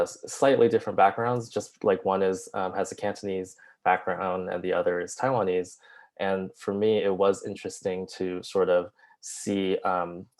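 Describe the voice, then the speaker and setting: A man who wrote the film, talking through his writing choices at a festival Q&A in a relaxed, thoughtful, loosely phrased way. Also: low-pitched. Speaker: writer-director at a Q&A. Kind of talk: festival Q&A panel talk.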